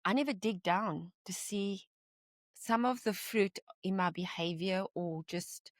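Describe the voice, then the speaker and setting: A woman's voice: mid-range voice, a little slow, dry recording, studio quality. A middle-aged woman from South Africa, a guest telling her personal story with easy laughter and quoted asides.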